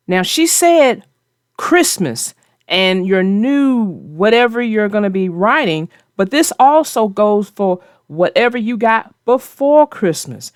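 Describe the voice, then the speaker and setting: Lady host chatting about a kids story, really animated, makes her points firmly, dry sound. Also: medium pitch, dry recording. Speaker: host of a children's read-aloud show. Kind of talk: conversational story discussion and safety advice.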